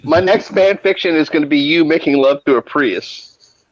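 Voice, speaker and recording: A man's voice: fairly high pitch, moderate pace, a crowd in the background. A man on a casual video-call chat, teasing and cutting in with jokes. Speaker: guest. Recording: dry, dull lo-fi sound.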